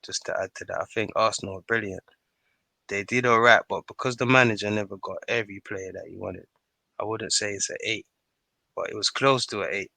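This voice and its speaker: A man's voice: on the low side, steady tone, a little fast, vocal fry, sharp tone, dry recording. A man giving his opinions in casual chat as a football podcast panellist.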